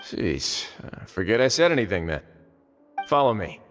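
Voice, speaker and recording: An actor playing a composed, authoritative duke in a video game, speaking with dry, teasing assurance. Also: male voice, fairly low pitch, big pitch swings, normal speed, big-room sound, lo-fi sound, a little hiss or hum. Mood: wry, teasing.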